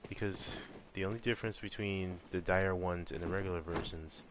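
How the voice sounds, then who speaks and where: A man giving casual, halting gameplay commentary as he explains things while playing. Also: low voice, steady tone, background noise. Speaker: video game streamer.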